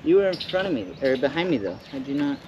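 A man talking quietly and sheepishly outdoors by a lake. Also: fairly high pitch, big pitch swings, quick pace, muffled recording, slight background noise. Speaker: friend who crashed. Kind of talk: casual chat.

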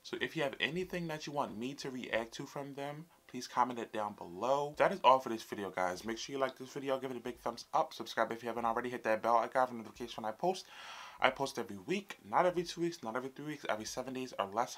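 A man's voice: mid-range voice, expressive, quick pace, fairly bright tone. A man in his twenties hosting a casual reaction video, chatty and sassy with his viewers. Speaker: YouTube reaction host. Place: small room indoors, fabric backdrop behind.

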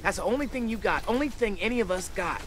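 High-pitched, cocky teenage joker. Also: male voice, expressive, fast talker, a bit bright, a little hiss or hum. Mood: upbeat, teasing. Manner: playful, theatrical, slangy. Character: cheerful, cocky, joking teenage boy survivor. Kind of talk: video game voice acting.

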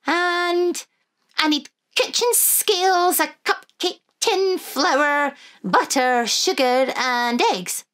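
A woman voicing a cheerful, magical old granny in a high, chirpy put-on voice. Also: takes it slowly, a bit bright. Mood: cheery.

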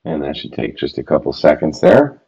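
A man in his thirties with a low voice talks through radio gear in a relaxed, rambling way, full of fillers and restarts. He sits in a home office, recorded by a desk camera at a medium distance. The sound is slightly dull and lo-fi. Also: normal speed. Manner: chatty, loose, lots of fillers and restarts. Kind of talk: casual product walkthrough. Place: home office room, indoors.

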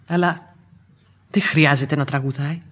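An actress playing a humble, devoted, sharp-tongued housemaid in a vintage Greek radio play. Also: female voice, deep voice, steady tone. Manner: plain-spoken, self-effacing. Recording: old radio recording with background noise.

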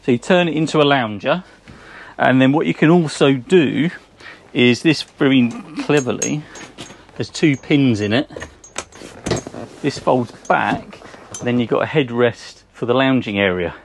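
Relaxed English man giving a boat tour. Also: medium pitch, unhurried, creaky, long pauses, a little hiss or hum. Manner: relaxed, chatty, frequent ums, pointing out features. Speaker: boat sales presenter. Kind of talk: casual walkthrough tour. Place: outdoors on a boat in a marina.

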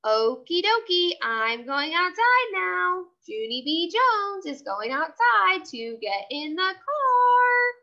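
A woman voicing an excitable, bossy little girl from a storybook, exclamatory and childishly emphatic. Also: very high voice, slow pace, highs cut off, voice pushed up, exaggerated voice. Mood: excited, loud.

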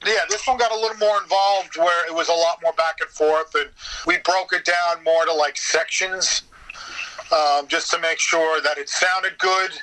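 A man with a very bright, forceful voice sitting a little high. He chats casually as an interview guest and tells anecdotes like a performer. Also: moderate pace, rough recording. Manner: animated storyteller, slips into acting out bits. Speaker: interview guest, radio theater voice actor.

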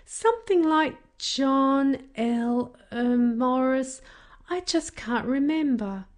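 A woman plays an eager young boy in a children's storybook. He is impatient and curious, and his voice swings up high with excitement as he asks his questions. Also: slow. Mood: puzzled.

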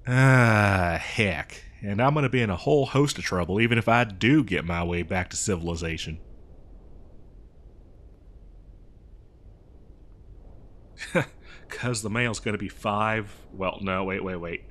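A dim but good-natured cowboy, acted by a man, with a lowish voice and a folksy American Western drawl. He rambles and hesitates his way through each thought, as in an improvised comedy audio roleplay. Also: moderate pace, fairly bright tone, natural voice. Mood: flustered, puzzled. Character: dim, good-natured cowboy mail rider lost in badlands.

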